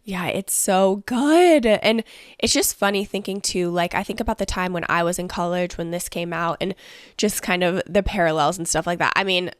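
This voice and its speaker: A woman's voice: fairly low pitch, normal speed, dry recording, clean studio sound. A young woman hosting a casual solo podcast, chatty and upbeat, talking with a stuffy nose from allergies. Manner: bubbly, chatty, fillers like "like" and "honestly". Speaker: podcast host.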